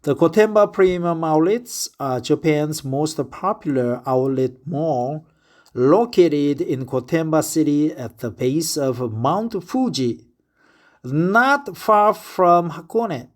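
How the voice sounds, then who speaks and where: A man's voice: on the high side, animated, slow. A man in his fifties from Korea, a native Korean speaker with a Korean accent, talking in a casual spoken diary and reading aloud, hesitant with many filler sounds. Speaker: vlogger.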